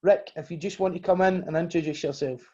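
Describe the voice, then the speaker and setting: A man hosting a podcast with a raised, mellow voice, steering the chat with short friendly questions. The audio is a little dull and lo-fi. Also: a little high, steady tone, fast. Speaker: podcast host. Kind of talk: casual interview hosting.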